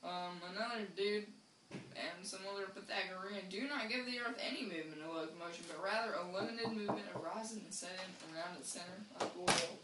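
A woman's voice: medium pitch, fairly quick. A young woman reads an old scientific text aloud, seated in a small room a few metres from a camera. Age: young adult. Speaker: reader and organizer of an informal group reading. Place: small bare room indoors. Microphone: camera mic from a few metres. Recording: echoey room, distant mic, faint hiss.

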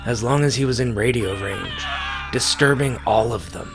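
A man giving a grave, deliberate dramatic reading of a science fiction short story, pausing for effect. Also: medium pitch. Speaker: amateur story reader. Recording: music behind the voice. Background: music.

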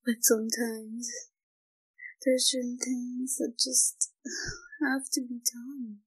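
A woman acts out a timid young yandere captor in an intimate audio drama. Her voice is high and breathy, and she speaks extremely slowly and haltingly. She sounds devoted, possessive and softly menacing. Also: level tone, rough recording. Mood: soft menace. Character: shy, obsessive young female yandere student kidnapper. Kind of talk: intimate audio roleplay acting.

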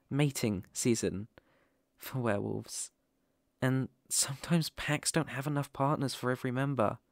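A British man playing a protective, dominant lone werewolf in an ASMR roleplay, soothing and deliberate with frequent pauses. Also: medium pitch, no echo, clean studio sound.

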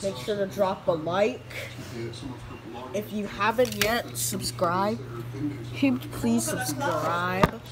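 A woman's voice: mid-range voice, drawn-out words, over a noisy crowd. An American woman chatting casually to viewers in an informal, hesitant vlog style, recorded on a handheld phone. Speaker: vlogger. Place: home, between porch and indoors. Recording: loud background noise on handheld phone.

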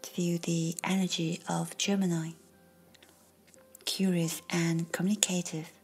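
A woman leading a guided meditation in a soft whisper, slow and calm. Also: fairly low pitch, low-quality recording. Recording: faint music behind.

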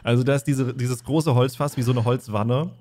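A man's voice: medium pitch, fairly even intonation, moderate pace, a little mellow. A man narrating and explaining an online tabletop game as game master.

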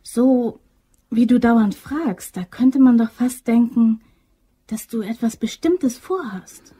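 A woman's voice: on the high side, slow, breathy edge. An actress playing a hesitant, fond, easily alarmed female bookkeeper in a naturalistic German crime radio drama. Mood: anxious, disbelieving. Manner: naturalistic, questioning, often dropping to a hushed voice.

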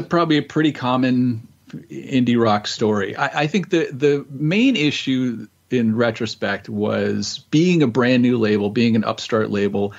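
A man's voice: medium pitch, lo-fi sound. A man guest telling stories in a casual interview, easygoing and rambling with lots of restarts. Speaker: guest author and musician.

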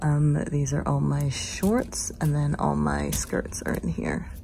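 A young woman in her twenties casually vlogging, chatty and relaxed, laughing often, filmed on a handheld phone. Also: sits low, slow pace, vocal fry. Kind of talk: casual vlog chat. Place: home closet, then car and house. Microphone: handheld phone camera. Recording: noisy handheld phone audio.

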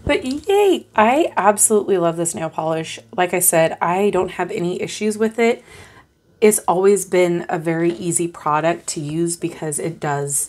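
A woman's voice: lowish voice, normal speed, darkish tone. A young adult woman hosting her own channel, chatting casually and upbeat while her voice is still strained from recovering. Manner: chatty and upbeat, bubbly enthusiasm. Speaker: tarot channel host. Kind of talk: casual vlog talk to camera.

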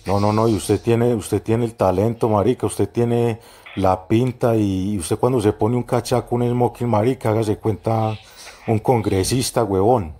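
A man's voice: on the low side, fairly even intonation, moderate pace, dark tone, slight background noise. A Colombian man with a Medellín paisa accent, chatting as a prank caller in coarse, jocular slang full of swearing. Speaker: prank caller, show host. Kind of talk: casual slangy phone prank chat.

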